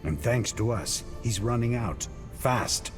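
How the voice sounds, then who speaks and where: A man's voice: mid-range voice, lively intonation, takes it slowly, over music. A man playing a stern, resolute warrior in a fantasy game, speaking tersely and stoically. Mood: serious, probing.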